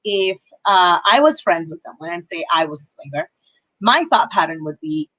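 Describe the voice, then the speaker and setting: A young woman giving casual, opinionated commentary on a livestream, breaking off to answer her chat with quick apologies. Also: fairly low pitch, expressive, pushed and bright, poor audio. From Pakistani heritage. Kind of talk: casual live-stream commentary reading chat.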